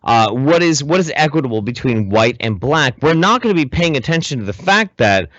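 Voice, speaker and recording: A man's voice: mid-range voice, sing-song, a little fast, fairly bright tone, no room sound, muffled recording. A man co-hosting a casual podcast, giving opinionated commentary in an emphatic, profane way, often repeating his phrases. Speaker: podcast co-host.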